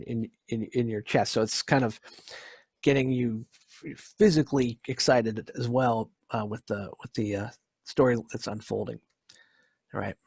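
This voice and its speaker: A man giving a casual narrated lecture, speaking in a hesitant, halting way. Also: medium pitch, a little mellow, husky, no echo, clean studio sound.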